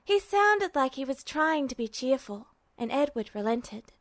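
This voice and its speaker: A woman reading a fan fiction story aloud with steady narration. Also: high-pitched, unhurried, dry recording. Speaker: podfic reader.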